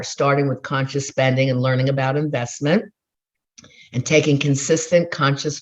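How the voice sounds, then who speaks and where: Woman coach with a lowish voice, upbeat pep talk to the class, muffled lo-fi tape. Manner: encouraging, motivational, addresses listeners directly. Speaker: life and love coach. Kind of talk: recorded master class. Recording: dull lo-fi class recording.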